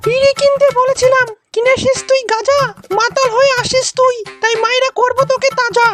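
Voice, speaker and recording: A woman's voice: extremely high pitch, level tone, brisk, over loud music. A woman voicing a bossy, threatening wife and mother in a comic Bangla cartoon fan dub, scolding and exclamatory.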